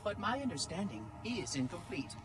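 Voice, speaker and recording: A man's voice: high voice, very animated, moderate pace. A man voicing a fussy, prim ancient artificial intelligence in a video game, with precise, officious diction. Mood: officious, urgent. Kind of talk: video game cutscene acting.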